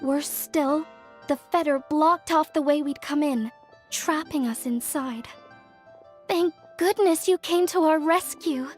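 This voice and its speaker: A woman's voice: high voice, takes it slowly. An earnest young craftswoman. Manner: earnest, explanatory, slightly modest. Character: young woman craftswoman from a fortune-telling clan, earnest. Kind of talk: video game voice acting. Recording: game cutscene with faint music.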